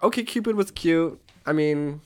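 A man in his thirties from Oklahoma, co-hosting a casual chat podcast, sassy and animated. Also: a little high, clear, resonant tone. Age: 30s. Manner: animated, sassy, quick asides. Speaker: podcast co-host.

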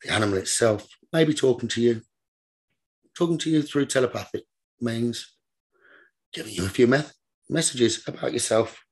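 A man leading a guided meditation as a teacher, giving gentle, repetitive instructions in a slow manner. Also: medium pitch, breathy edge.

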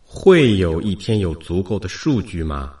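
Guy playing the last human soul in a sci-fi audio drama, low solemn voice, pleading, really expressive. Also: measured pace. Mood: searching, resigned.

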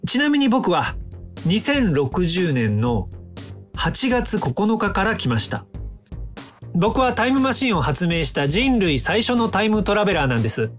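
An actor in a radio drama playing a calm, smug inventor from the future, polite and lecturing in a self-assured way. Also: male voice, high-pitched, measured pace, faint music behind. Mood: lecturing, triumphant.

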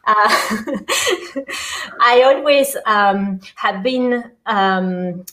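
Middle-aged woman, Argentine accent, hesitant, lots of ums, casual interview guest, mid-pitched voice. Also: extremely slow, fairly bright tone. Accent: Argentine Spanish. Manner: hesitant, many ums and uhs, laughs often. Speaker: guest, app creator.

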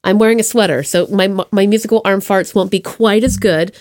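A woman's voice: medium pitch, fairly quick, a little mellow. A woman hosting a casual podcast interview with an effusive, playful way of talking. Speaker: podcast host.

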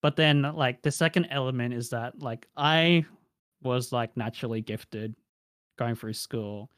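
A man's voice: mid-range voice, unhurried, a bit bright, clean tone, no echo, clean studio sound. A young man with an Australian accent, answering interview questions in a casual, reflective way with many fillers.

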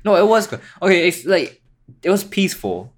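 A man's voice: sits high, sing-song, brisk. A young man chatting as a podcast guest, laid-back and teasing, laughing at his own jokes. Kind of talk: casual chat.

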